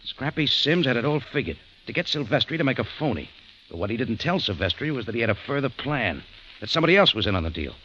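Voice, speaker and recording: A man's voice: medium pitch, sing-song, fast talker, sharp tone, slight background noise. An actor playing a tough, wry hero who narrates his own story in a laconic, hard-boiled 1940s radio style. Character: tough, wry man running a cafe, first-person narrator. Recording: dull old radio recording.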